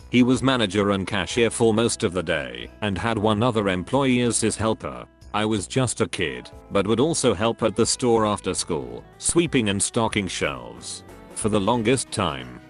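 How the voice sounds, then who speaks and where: Low synthetic male reading voice. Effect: text-to-speech voice. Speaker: narrator reading posts. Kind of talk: reading forum stories aloud.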